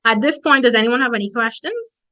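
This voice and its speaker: A woman's voice: fairly high pitch, sing-song, a little fast, pushed and bright. A woman from the Bahamas with a strong Bahamian accent, giving a direct, emphatic motivational presentation as a conference speaker.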